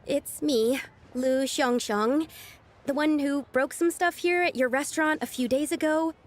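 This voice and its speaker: High-voiced earnest young scholar. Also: female voice, unhurried. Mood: nervous, apologetic. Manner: polite, sincere, slightly timid. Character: earnest, apologetic, polite young woman scholar. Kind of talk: English video game voice acting.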